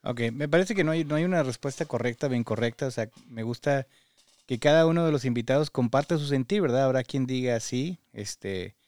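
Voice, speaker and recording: A man with a Mexican Spanish accent speaks as a podcast host in a conversational interview style. His voice sits at a medium pitch, and the sound is dry and close. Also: fairly quick, a little mellow, no room sound.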